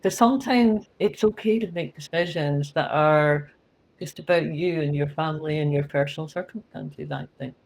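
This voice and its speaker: A woman's voice: deep voice, normal speed, darkish tone, poor audio. Chatty Scottish woman, candid. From Scotland. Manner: candid, chatty, laughs at herself. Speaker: guest, company CEO. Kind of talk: podcast interview.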